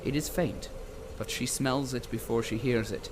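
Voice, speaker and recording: A man narrating an audio drama as a deliberate storyteller who relishes lurid detail. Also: mid-range voice, steady tone, background noise, close to an everyday voice. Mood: ominous relish.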